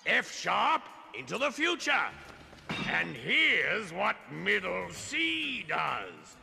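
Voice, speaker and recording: An actor playing a menacing skeletal robot ghost overlord in a cartoon, snarling and taunting in a grandiose, theatrical way. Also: male voice, sits high, huge pitch swings, very slow, heavily acted. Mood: gloating menace. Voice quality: gravelly, snarling. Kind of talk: 1980s cartoon villain acting. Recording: long reverb, faint music behind.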